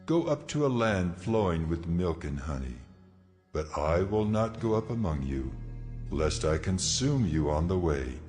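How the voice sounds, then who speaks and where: A man playing God as a stern, commanding deity, solemn and measured, in a dramatized audio Bible. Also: sits low, sing-song, darkish tone. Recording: music behind the voice.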